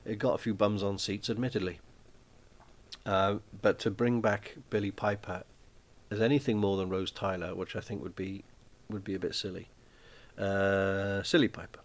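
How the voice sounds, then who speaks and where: Man, low-pitched, rambling live-stream chat, frequent ums and restarts, home setting. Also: little pitch movement, moderate pace. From Wales. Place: small flat at home.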